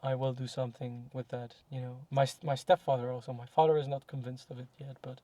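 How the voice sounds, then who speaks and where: A man's voice: mid-range voice, level tone, fast talker, warm tone, no echo. Young male guest, casual and reflective, full of fillers and restarts; dry, close mic. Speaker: guest in a podcast chat. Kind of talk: casual conversation.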